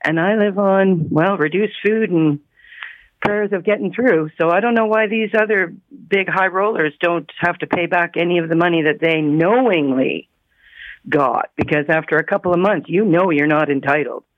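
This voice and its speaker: A radio phone-in caller giving an earnest personal account over a narrow phone line. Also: male voice, sits high, normal speed, no room sound.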